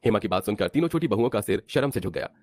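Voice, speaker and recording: Very fast, animated male story narrator. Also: lowish voice. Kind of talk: scripted cartoon story narration.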